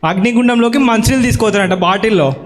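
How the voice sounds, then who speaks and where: A man's voice: high-pitched, fast talker, a little hiss or hum. A man presenting a live children's puppet show, explaining simply and asking questions back. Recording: echoey hall, live event.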